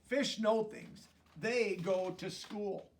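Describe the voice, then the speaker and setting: Man voicing an excited high-pitched little pollywog. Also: slow, a little hiss or hum. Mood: happy. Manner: eager, bubbly questioning. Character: small excited curious young male pollywog.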